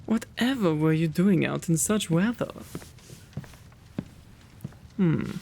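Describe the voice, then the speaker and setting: Kindly vampire lord of the manor, played by a man, ASMR roleplay: genteel and quaint, slow delivery, gravelly creak. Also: a little high, expressive, darkish tone, a little hiss or hum, exaggerated voice. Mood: soothing. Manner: genteel, old-fashioned, fussing and flustered diction. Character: kind, quaint gentleman vampire, lonely host of old mansion.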